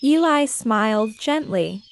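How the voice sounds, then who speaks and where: A woman's voice: a little high, animated, takes it slowly. A woman reading a scripted bedtime story for children as the storyteller. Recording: faint insects and birdsong behind. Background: crickets, birds, nature ambience.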